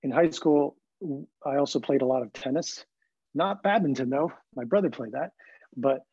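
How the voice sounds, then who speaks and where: A man in his forties with a husky, mid-pitched voice tells his own story as an interview guest. He grew up in Hong Kong and learned English as a child, and he speaks in a reflective, conversational way. The recording is a little dull. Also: normal speed, a little mellow, no echo. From Hong Kong, then the California Bay Area from age ten. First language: not English, learned it at about ten. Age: 40s.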